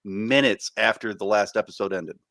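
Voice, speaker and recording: A man's voice: lowish voice, normal speed, a bit bright, dry recording, studio quality. A man co-hosting a podcast in casual chat, rambling with many fillers and restarts. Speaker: podcast co-host.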